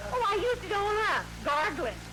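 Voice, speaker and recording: Kobina, a deadpan man-hungry spinster. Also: very high-pitched, normal speed. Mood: wisecracking. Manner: dry, deadpan wisecracks. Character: wisecracking man-hungry spinster maid, woman. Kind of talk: 1940s radio comedy acting. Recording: crackly old radio broadcast, loud hiss.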